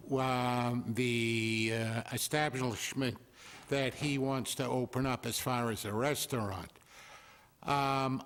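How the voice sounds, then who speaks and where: A man who is a neighbor speaking at a town zoning hearing, talking slowly and haltingly with many fillers, in a loud voice away from the microphone. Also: medium pitch. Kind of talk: public comment at town meeting. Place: town hall meeting room. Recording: reverberant hall, speaker off mic.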